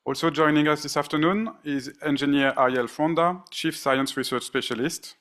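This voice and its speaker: A man's voice: on the high side, moderate pace, a bit bright. A man moderating a conference panel, reading out speaker introductions with frequent fillers and restarts. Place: conference stage.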